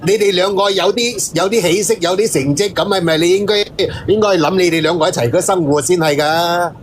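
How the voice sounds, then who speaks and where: A man's voice: sits high, a little fast, a little hiss or hum. A man admonishing a caller insistently and reproachfully with rhetorical questions as a co-host. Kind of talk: admonishing advice on a call-in.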